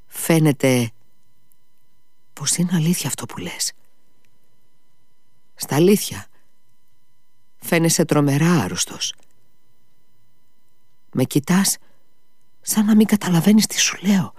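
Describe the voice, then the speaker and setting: A man voices a gentle young man who is frightened and pleading. He talks in a hushed, anxious way and often drops to a whisper. His voice sits low and sounds dry and close. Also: lively intonation, pauses often, no echo.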